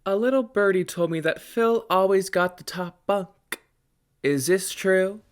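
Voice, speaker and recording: Smooth, nosy talk-show doctor played by a student. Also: mid-range voice, a little slow, natural voice. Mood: composed, probing. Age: teenager or young adult student. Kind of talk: student radio play, talk-show hosting.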